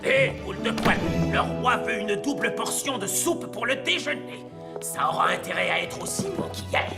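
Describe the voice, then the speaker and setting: A man voicing a grumpy, bossy kitchen master in a fairy-tale cartoon, with a creaky voice and a scornful, barking manner. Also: sits high, expressive, moderate pace, loud music behind the voice. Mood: grumbling. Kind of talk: animated fairy-tale dub.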